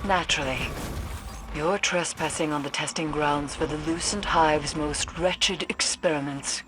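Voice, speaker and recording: A woman's voice: sits low, steady tone, measured pace. A woman playing a grave, cryptic female mystic who guides warriors in a game, speaking formally and theatrically. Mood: ominous. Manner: solemn, formal, ominous. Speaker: mission guide. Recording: noisy game sound effects behind.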